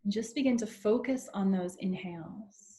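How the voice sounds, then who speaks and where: A woman leading a guided meditation in a soothing, unhurried way with long pauses between phrases. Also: medium pitch, dark tone.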